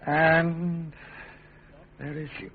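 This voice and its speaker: An English actor playing a volatile, self-righteous young king in classic radio drama style, imperious and theatrical, swinging between bluster and hush. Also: fairly high pitch, flat delivery, very slow, slight background noise. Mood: earnest. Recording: muffled, narrow-band radio broadcast.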